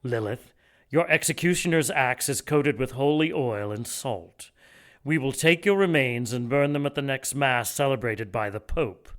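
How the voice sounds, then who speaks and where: An actor playing a menacing, pompous leader of a religious order in an audio drama, speaking formally and gloatingly. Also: male voice, mid-range voice, normal speed, a bit bright, natural voice. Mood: stern, threatening.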